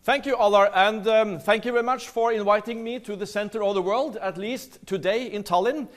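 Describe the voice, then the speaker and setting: A Norwegian man with a Norwegian accent giving a lively, playful business talk to a seminar audience in a large hall. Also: high-pitched, a little fast. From Norway. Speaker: seminar speaker.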